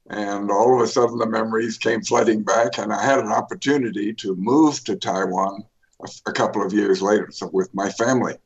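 An older man, an author, telling his life story in a radio interview, pausing often with fillers and restarts. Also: lowish voice, dull audio. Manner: rambling, many fillers and restarts. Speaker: author guest.

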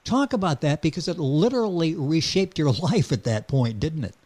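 A man's voice: fairly high pitch, expressive, normal speed, a little mellow, breathy edge. A man hosting a radio talk show, introducing a guest with emphatic energy. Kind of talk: radio interview.

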